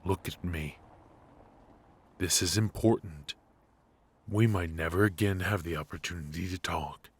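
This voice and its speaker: A man playing a cocky but affectionate secret agent in an intimate noir audio roleplay, with halting, teasing delivery. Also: low voice, slightly rough. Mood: urgent, tender. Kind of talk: intimate ASMR roleplay voice acting.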